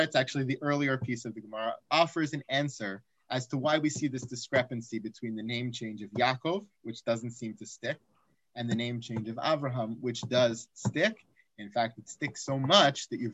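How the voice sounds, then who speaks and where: A man teaching an online Talmud class, raising rhetorical questions and dropping into quiet asides. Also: medium pitch, brisk, no echo, rough recording. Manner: animated, asks rhetorical questions, drops to asides.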